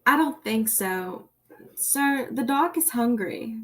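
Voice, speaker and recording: A woman's voice: fairly high pitch, animated, takes it slowly, noisy background. A teenage girl acting a nervous, eager, naive rookie police officer in a student radio play. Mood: flustered. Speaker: student actor.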